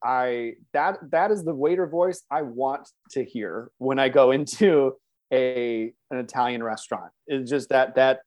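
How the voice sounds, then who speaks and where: A man's voice: medium pitch, unhurried, a little mellow. American guy from near chicago, casual interview chat, medium voice, self deprecating and chuckly. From suburban Chicago, United States. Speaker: voice actor, interview guest.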